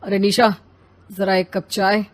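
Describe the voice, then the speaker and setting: A woman voicing a grumpy, demanding husband and father in an animated family story, complaining and dismissive. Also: mid-range voice, measured pace, close to an everyday voice.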